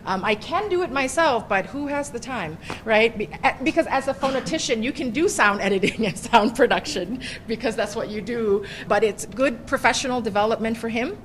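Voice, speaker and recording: A woman's voice: a little high, expressive, fairly quick, few pauses, a little hiss or hum. A middle-aged woman professor giving a conversational workshop presentation, often checking in with "right?". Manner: chatty, often ends points with "right?". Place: workshop room.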